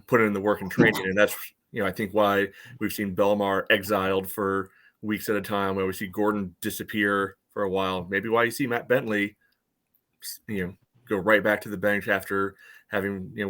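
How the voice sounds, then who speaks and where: A man's voice: lowish voice, sharp tone. A man co-hosting a casual sports podcast, talking in a loose, rambling way with plenty of filler phrases. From United States. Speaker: podcast co-host.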